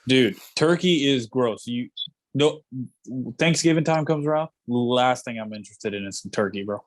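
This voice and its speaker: A man's voice: mid-range voice, normal speed. A man co-hosting a casual podcast, bluntly dismissive and full of bro and dude. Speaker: podcast co-host. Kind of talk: casual banter.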